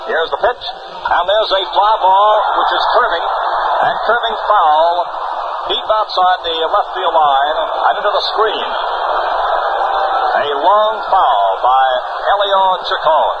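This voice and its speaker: American guy doing old 60s radio baseball play-by-play, highish voice, super noisy muffled sound. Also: big pitch swings, slow pace. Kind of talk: 1960s radio sports commentary. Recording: noisy, muffled vintage 1960s radio broadcast.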